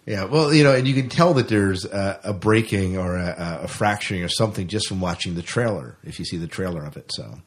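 A man's voice: deep voice, brisk, hardly any pauses. A man hosting a podcast in a casual, conversational interview style. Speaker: podcast host.

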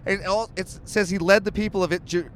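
A man with a fairly high voice reads scripture in a loose, joking way and then riffs on it with swearing asides. He records in a moving car. A faint rumble of the road runs under his voice. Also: fairly even intonation, fast talker, rough recording. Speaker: podcast co-host reading scripture. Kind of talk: casual irreverent chat and reading aloud.

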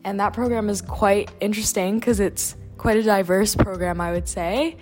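A woman's voice: mid-range voice, steady tone, moderate pace, over music. A young woman from Vancouver with a Canadian accent, hosting a student podcast in a conversational way. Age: young adult.

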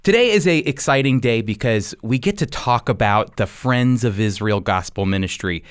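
A man with a smooth, resonant voice hosts a faith-based radio program. His pitch sits in the middle for a male speaker, and his delivery is earnest, with plenty of emphasis. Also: lively intonation, normal speed. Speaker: radio show host.

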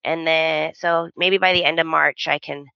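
A woman's voice: low voice, steady tone, normal speed, creaky, bright, forceful tone, smooth, no room sound, lo-fi sound. A woman in her thirties co-hosting a podcast, telling stories in casual chat and laughing often. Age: 30s. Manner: chatty storyteller, laughs often. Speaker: podcast co-host.

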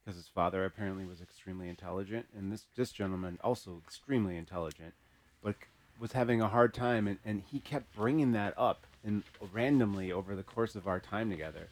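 A man hosting a podcast in casual conversation, haltingly searching for his words. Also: fairly low pitch. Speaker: podcast host.

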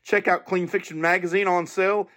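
A man's voice: high voice, level tone, a little fast, a bit bright. A man hosting a podcast, speaking informally and earnestly in a monologue to listeners. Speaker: podcast host.

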